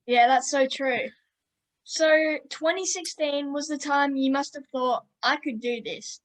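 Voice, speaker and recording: High-voiced upbeat woman host. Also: measured pace. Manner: upbeat, friendly, calls guest mate. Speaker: podcast host. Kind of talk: interview questions.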